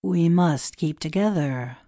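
A grave Egyptian priest from a storybook, played by a woman, speaks in a low, dark voice. The delivery is extremely slow and solemn, with formal, old-world phrasing, recorded dry and close. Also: no room sound. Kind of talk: dramatised storybook reading.

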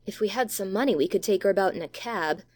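A man's voice: sits high, quick pace, no room sound, voice pushed up, lightly acted. A man voicing a sensible, slightly worried child in a storybook, speaking plainly. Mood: worried, practical. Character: practical, worried child thinking aloud.

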